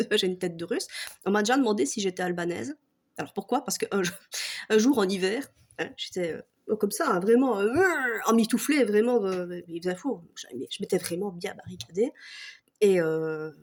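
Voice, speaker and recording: A woman's voice: mid-range voice, normal speed, darkish tone, breathy edge. A woman from Belgium with a Belgian French accent, ranting casually and mockingly, swearing often and breaking into laughter. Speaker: vlogger. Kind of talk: casual comic rant to camera.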